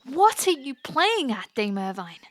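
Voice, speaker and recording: A woman's voice: high-pitched, big pitch swings, unhurried. A woman playing a spirited, defiant young princess in a fantasy audio drama, easily flustered, with an indignant, hesitant delivery. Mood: indignant, fired up.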